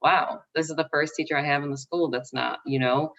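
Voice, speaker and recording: A woman's voice: low-pitched, steady tone, a little fast, fairly bright tone. A woman speaking casually on a panel, chatty and laughing often, with lots of filler words. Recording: dull sound, mic far off.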